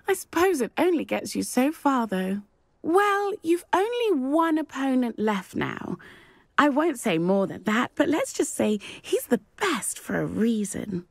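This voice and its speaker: Creaky-voiced, competitive schoolgirl witch. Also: high-pitched, very animated, a little slow, no room sound, exaggerated voice. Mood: playfully competitive. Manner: teasing, cocky. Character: confident, competitive teenage schoolgirl witch, good sport. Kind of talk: video game character acting.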